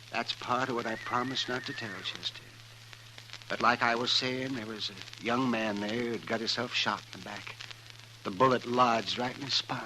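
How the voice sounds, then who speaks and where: Actor as crusty old frontier doctor, noisy recording. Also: male voice, lowish voice, lightly acted. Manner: folksy, emphatic storytelling with rural grammar. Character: crusty, principled frontier town doctor, older man. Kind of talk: old-time radio western acting. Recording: noisy vintage radio recording.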